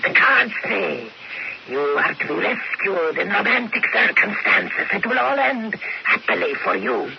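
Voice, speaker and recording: A woman's voice: medium pitch, animated, measured pace, vocal fry. An actress playing an earnest, insistent peasant shepherd woman who reads fortune cards in a dramatic radio play. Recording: muffled, very noisy radio recording.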